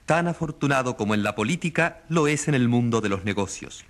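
A man's voice: medium pitch, animated, brisk, natural voice. A man acting a radio studio announcer, reading scripted profiles formally and with emphasis in a radio drama. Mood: formal, emphatic.

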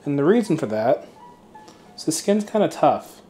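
A man's voice: a little high, moderate pace, faint music behind. A man in his thirties hosting a cooking video in his home kitchen, talking casually with lots of fillers and the odd swear word. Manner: relaxed and chatty, many fillers, mild swearing. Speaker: cooking channel host.

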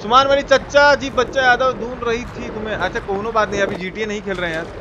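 A man's voice: sits high, fairly even intonation, quick pace, highs cut off, noisy background. A young man with a Bihari accent giving casual, colloquial live game commentary, exclaiming playfully as he chats to viewers. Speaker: game livestreamer. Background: video game sound.

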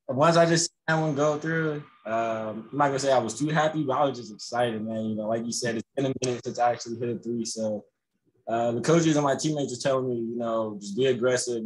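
A young man, a college basketball player, answering reporters on a video call after a game, relaxed and full of "you know" fillers. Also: mid-range voice, fairly quick, a little hiss or hum, low-quality recording. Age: young adult. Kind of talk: postgame press conference answers. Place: indoors in front of a logo backdrop.

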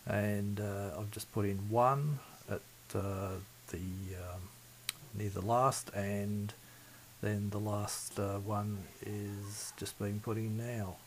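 A man demonstrating a radio electronics repair at his workbench, speaking methodically and haltingly with many fillers. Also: lowish voice, flat delivery, a little hiss or hum, rough recording. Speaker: CB radio hobbyist. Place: home workbench.

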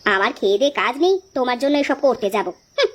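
Woman doing a scolding village wife, cartoon, really high voice, talks fast, blunt, slight noise behind. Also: very animated. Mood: sulky, reproachful. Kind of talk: cartoon dialogue acting.

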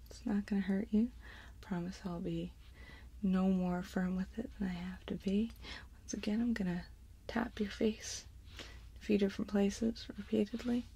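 A woman in her thirties doing a soothing, gentle ASMR role play close to the microphone, guiding the listener step by step. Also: medium pitch, creaky, breathy edge. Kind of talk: ASMR, whispered and soft-spoken personal attention role play. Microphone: close microphone, facing camera.

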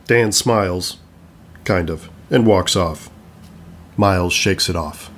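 A man's voice: deep voice, takes it slowly, a bit bright, slight background noise. A man reading stage directions as the narrator of an audio play, in scripted narration.

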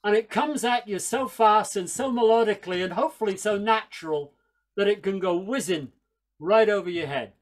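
A man's voice: high-pitched, moderate pace. An older man from Northern Ireland, raised in England, giving a wry, chatty storytelling talk over a video call from a small room at home with a headset mic. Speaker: folk singer and speaker.

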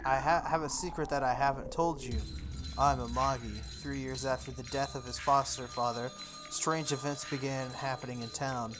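A game playthrough host reading on-screen text aloud and commenting casually, reacting out loud with exclamations and questions. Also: medium pitch. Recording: music behind the voice. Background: game and anime music.

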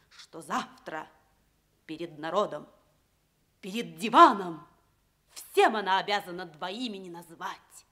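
Slow, declamatory scheming noblewoman. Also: mid-range voice, pushed and bright. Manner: declamatory, dramatic. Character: scheming, passionate noblewoman in a fairy-tale tragicomedy. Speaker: stage actress. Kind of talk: theatrical Soviet radio drama acting.

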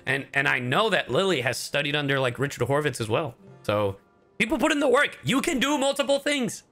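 A man streams a game in a bright, forward voice with very lively pitch swings. He talks casually and animatedly to his audience, swearing freely, with game music playing behind him. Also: brisk. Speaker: streamer and voice actor. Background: video game music.

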